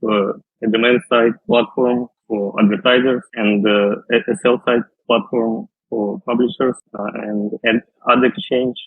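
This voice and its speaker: A man, answering interview questions slowly and carefully, with many fillers and restarts. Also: medium pitch, flat delivery, slightly rough, no room sound. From Russia. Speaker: guest, data scientist. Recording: tinny phone-like line.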